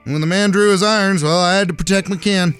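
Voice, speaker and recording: An actor playing a brash, defiant gunslinger with a folksy in an audio drama. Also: male voice, high voice, steady tone, quick pace, a bit bright, smooth, faint music behind. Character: cocky, defiant gunslinger brother, rough-edged. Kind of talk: Western audio drama acting.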